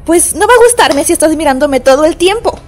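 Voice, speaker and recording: A woman's voice: high-pitched, lively intonation, brisk, soft background music. A woman with a Latin American accent playing a casual, teasing, outspoken young girlfriend in a comedy fan dub. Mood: firm. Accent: Latin American Spanish.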